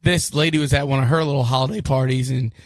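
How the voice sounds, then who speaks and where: A man's voice: medium pitch, fairly even intonation, quick pace, dry recording. Casual man in his thirties, dry sound. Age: 30s. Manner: storytelling, swearing, mimicking people. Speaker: podcast co-host. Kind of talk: casual chat.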